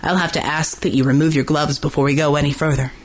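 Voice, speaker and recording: A man's voice: on the high side, sing-song, normal speed. A man voicing an unflappable, condescending military official with a brusque, authoritative manner, as audiobook dialogue.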